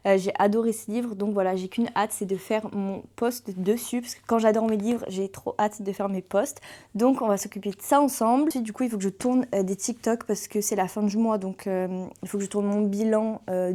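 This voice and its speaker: A woman's voice: mid-range voice, mellow. A young woman chatting casually to camera as a book content creator, talking freely with frequent hesitations. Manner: chatty, fills pauses with hesitations. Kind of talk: casual vlog chat.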